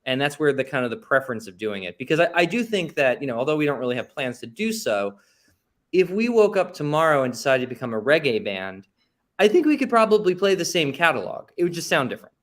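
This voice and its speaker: A man's voice: a little high, big pitch swings, quick pace. A man speaking as an interview guest and musician, chatting casually in an informal, self-deprecating way. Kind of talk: casual conversational storytelling.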